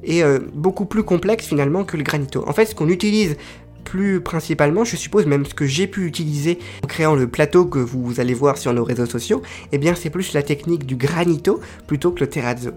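A man's voice: fairly high pitch, brisk, darkish tone, faint music behind. Chatty, hesitant male podcast host. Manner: chatty, explains carefully with frequent hesitations. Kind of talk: solo informative podcast monologue.

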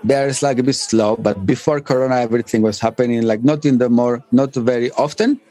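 A man, giving casual interview answers as a DJ in halting, English. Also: medium pitch, steady tone, mellow. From Albania and northern Greece. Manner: halting non-native English phrasing. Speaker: interview guest, DJ and music producer.

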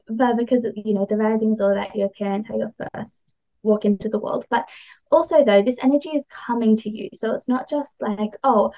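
A woman's voice: a little high, dark tone, muffled recording. A woman chatting casually as she explains astrology as a podcast guest, informal, enthusiastic and encouraging.

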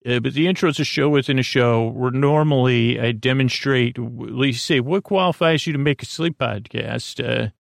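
A man hosting a sleep podcast, talking in a slow, meandering bedtime monologue full of fillers and restarts. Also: medium pitch, no room sound, studio quality. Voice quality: creaky, halting, many fillers and restarts.

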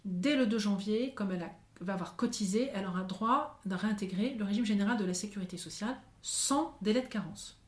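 A woman's voice: medium pitch, fairly quick, few pauses. Woman explaining rules in an echoey room. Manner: precise, methodical explaining. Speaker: guest explaining social security rules. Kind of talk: explanatory podcast talk. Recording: roomy echo.

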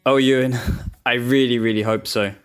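A man's voice: medium pitch, steady tone, normal speed, clean tone, faint music behind. A man talking as a guest pundit on a football podcast, enthusiastic and repeating words for emphasis. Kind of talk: casual football analysis.

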